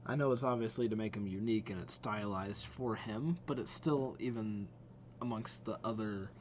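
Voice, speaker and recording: A man hosting a reaction video, giving casual commentary as he thinks aloud in a halting way. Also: lowish voice, a little hiss or hum.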